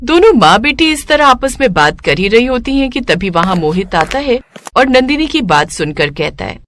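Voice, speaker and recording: Animated woman storyteller. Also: a little high, moderate pace, a little hiss or hum. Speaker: narrator. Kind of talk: scripted story narration.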